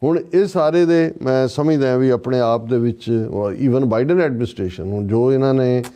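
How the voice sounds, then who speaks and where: Male political commentator, Punjabi accent, relaxed warm tone, mid pitch, sardonic and emphatic, conversational delivery. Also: normal speed, dull audio. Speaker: political commentator on a talk show.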